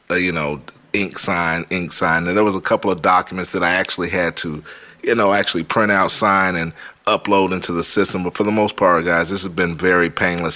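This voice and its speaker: A man's voice: low voice, pushed and bright. A man hosting a vlog, talking informally to his viewers and repeating points for emphasis. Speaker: host of a money and real estate vlog. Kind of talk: casual vlog talk to viewers.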